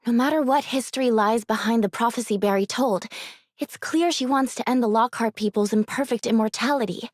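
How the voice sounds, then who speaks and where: A thoughtful young companion played by a woman talks in a fairly high voice. It is anime game dialogue, recorded dry with little room sound. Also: fairly quick, dry recording, close to an everyday voice.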